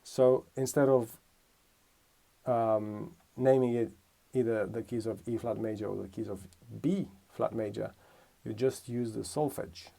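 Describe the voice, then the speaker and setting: A man's voice: fairly low pitch, steady tone, a little slow, gravelly, warm tone. A man from Romania giving a casual music lesson, thinking aloud and correcting himself as he explains. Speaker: music teacher.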